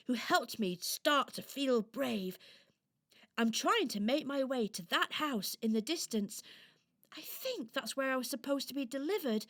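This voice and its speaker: A woman voicing a timid, apologetic little toy in a children's radio play, earnest and hesitant. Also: high-pitched.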